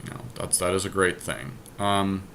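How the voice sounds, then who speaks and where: Low-voiced man thinking out loud. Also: normal speed, a little hiss or hum. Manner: deliberating, counting and reasoning aloud. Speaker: podcast host drafting a card game deck. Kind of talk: casual thinking-aloud game commentary.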